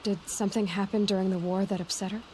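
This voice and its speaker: Calm young huntress-tracker for a video game, played by a woman: average pitch, gentle, probing delivery. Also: fast talker, a little hiss or hum, lightly acted. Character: calm, concerned young female tracker questioning a stranger. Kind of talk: video game character acting.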